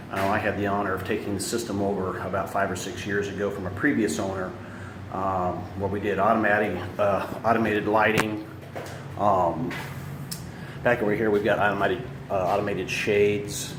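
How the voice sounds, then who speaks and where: Male installer, lowish voice, casual step-by-step explainer, roomy sound with background noise. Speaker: home audio installer presenting a how-to video. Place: indoors, room of a house being remodeled. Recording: echoey room, clear background noise.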